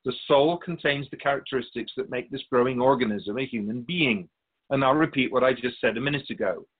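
Man voicing a husky, patient otherworldly spirit. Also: medium pitch, phone-quality sound, natural voice. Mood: explanatory, measured. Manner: measured, explanatory, gently pedantic. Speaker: spirit being interviewed. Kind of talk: scripted radio drama dialogue.

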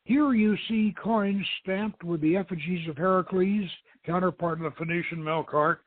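A man's voice: sits high, steady tone, normal speed. A man voicing a polite, eager young female museum guide with a formal, explanatory manner. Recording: tinny band-limited radio stream, roomy echo.

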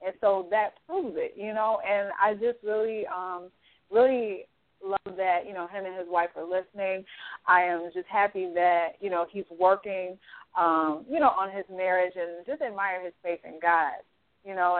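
A woman's voice: medium pitch, no room sound. A woman co-hosting a talk show, speaking earnestly with frequent "um" and "you know". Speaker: co-host. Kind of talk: casual talk show chat. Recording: tinny phone-like dry sound.

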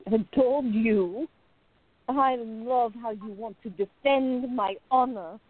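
An actress playing a playful, adoring, flirtatious woman in love in a radio play, with a teasing, excitable manner. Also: on the high side, slow pace. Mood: tender, adoring. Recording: narrow phone-like, dry sound.

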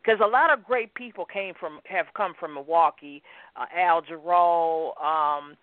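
A woman's voice: lowish voice, measured pace, dry recording. Woman host, gushing and eager, lots of ums, narrow phone-line sound, no room at all. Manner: enthusiastic, searching for words with many "um"s. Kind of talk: interview. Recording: tinny phone-like sound, dry.

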